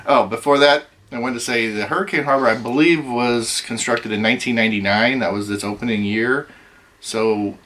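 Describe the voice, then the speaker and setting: A middle-aged man hosting a casual chat, rambling with many ums, his voice going hoarse. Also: medium pitch, steady tone, moderate pace, fairly bright tone. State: losing his voice. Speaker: host.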